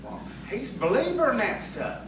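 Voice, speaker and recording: A man's voice: extremely high pitch, huge pitch swings, normal speed, full character voice. A man acting in audio theatre as a sly, placating rustic crony with folksy country phrasing. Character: rustic sly crony, placating his hot-headed boss. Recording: echoey, distant, noisy stage recording.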